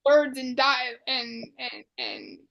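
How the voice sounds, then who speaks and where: A woman's voice: sits high, wildly swooping pitch, slow pace, gravelly, fairly bright tone, dull audio. A woman from Chicago with a Midwestern accent, hosting a podcast in casual chat and laughing often. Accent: Midwestern American. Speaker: podcast host.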